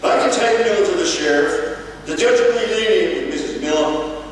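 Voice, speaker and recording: A man's voice: high-pitched, lively intonation, moderate pace. A man playing a noble, commanding masked cowboy hero in an old-time radio western. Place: theatre-style hall at an amateur show. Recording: distant echoey hall, some background noise.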